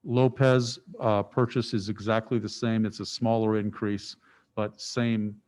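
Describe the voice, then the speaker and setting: A man's voice: on the low side, level tone, a little slow, a little mellow. A man who is a village official, explaining a property purchase to the council in a plain, explanatory way. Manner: plain, explanatory, with small restarts. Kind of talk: informal briefing at a meeting. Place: council chamber.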